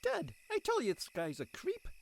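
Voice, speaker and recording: Very high, squeaky gang sidekick. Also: female voice, moderate pace, gravelly, exaggerated voice. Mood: jeering. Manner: jeering, excitable, giggly. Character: squeaky, gleeful young swamp gang sidekick. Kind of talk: audio drama acting.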